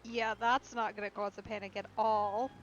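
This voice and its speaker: A woman's voice: mid-range voice, little pitch movement, moderate pace, a little hiss or hum. A woman giving casual, dryly sarcastic commentary as she streams a video game. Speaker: live streamer reacting to a game. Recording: slightly muffled, game music behind.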